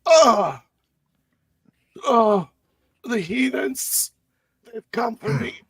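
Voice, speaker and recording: A man's voice: extremely high pitch, sing-song, very slow, gravelly, fairly bright tone, slightly rough, no echo, full character voice. A man playing a wounded, fanatical priest with melodramatic, accusing wails in a tabletop game. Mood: anguished outrage.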